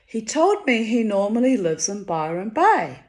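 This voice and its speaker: Slow, theatrical Hungarian-born woman. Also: big pitch swings. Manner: wry, theatrical, dramatic swings of pitch and loudness. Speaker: writer reading her own diary. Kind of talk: reading personal diary entries aloud.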